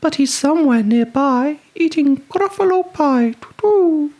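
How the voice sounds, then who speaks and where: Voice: sits high, expressive, unhurried, darkish tone, pitched above the performer's own voice. A reader voicing a hooting owl in a children's storybook, with a fluting delivery. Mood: knowing. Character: hooting owl, small-tailed bird of the night.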